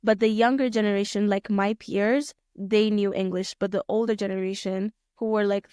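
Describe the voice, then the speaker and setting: A young woman from Kenya talks through her answers with many small restarts and fillers. Her voice is smooth and clear, held in a fairly narrow pitch band. The sound is dry and close. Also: darkish tone, dry recording. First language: Swahili. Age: young adult. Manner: thoughtful, rephrases often, many fillers. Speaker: guest, pilot student. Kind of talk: casual interview answers.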